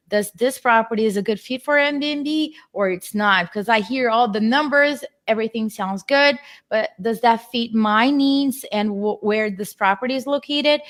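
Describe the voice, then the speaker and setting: Brazilian-accented woman, brightish voice. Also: a little high. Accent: Brazilian Portuguese. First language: Portuguese. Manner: earnest, explanatory, slightly non-native phrasing. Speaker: guest speaker, real estate investor. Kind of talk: casual interview.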